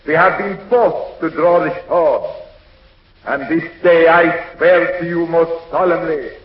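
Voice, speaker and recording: A resolute monarch speaks slowly and solemnly in a slightly high voice. The oratory is stately 1940s radio drama, ringing in a large echoey space. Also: male voice, muffled recording.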